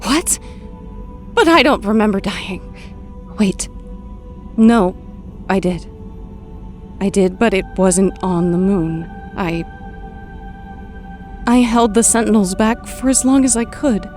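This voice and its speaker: A woman playing a bewildered young mutant woman just woken from a coma, speaking haltingly in an audio drama. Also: medium pitch, lively intonation, darkish tone, soft background music. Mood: confused, troubled. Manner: halting, dazed delivery.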